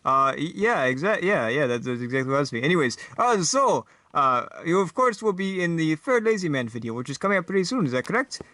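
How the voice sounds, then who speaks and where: A man voicing a fussy, bumbling, eager talk show host who speaks haltingly and repeats himself. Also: fairly high pitch, big pitch swings, bright, forceful tone, dry recording. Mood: flustered.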